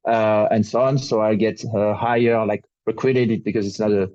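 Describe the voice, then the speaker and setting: Man with a French accent, young adult, low mellow voice, dry muffled call audio. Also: steady tone, moderate pace, no echo. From France. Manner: chatty, many "like" fillers. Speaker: panelist, head of ecosystem. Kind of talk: casual panel talk. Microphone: video call.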